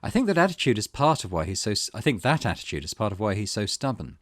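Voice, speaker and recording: A man's voice: on the low side, very animated, quick pace, a bit bright, no room sound, clean studio sound. A man voicing an earnest, plain-spoken female manager, reading her interview quotes from a visual novel. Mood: sincere, concerned.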